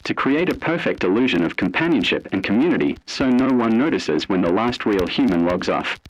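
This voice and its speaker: A man narrates analog horror in a low, husky voice. His delivery is scripted, ominous and deliberate, with expressive swings in pitch as he addresses the listener directly. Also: dull audio. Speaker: narrator of an online horror essay.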